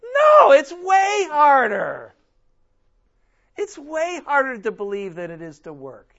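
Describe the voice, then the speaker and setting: High-voiced pastor, strained voice. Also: male voice, huge pitch swings, slow, gravelly, muffled recording. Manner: emphatic, rhetorical questions, swings between loud and soft. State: strained, almost losing his voice. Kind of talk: sermon.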